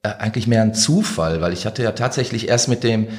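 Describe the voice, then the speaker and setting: A man's voice: lowish voice, fairly quick. A man from the Ruhr region co-hosting a podcast, talking colloquially and somewhat hesitantly. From Ruhr region, Germany. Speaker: podcast co-host, author. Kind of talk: casual podcast chat.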